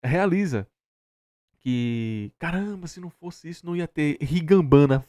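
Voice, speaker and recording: A man's voice: on the high side, animated, unhurried, warm tone, no room sound, studio quality. A Brazilian man co-hosting a reaction show, commenting casually in a sarcastic, argumentative and emphatic way.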